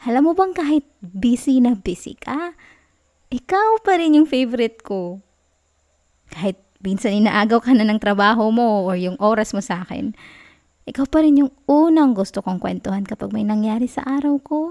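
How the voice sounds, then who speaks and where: A woman with a Filipino accent playing a clingy, affectionate, playfully sulky girlfriend in an intimate ASMR roleplay, soft, cooing and teasing. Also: a little high, animated, normal speed. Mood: affectionate, wistful.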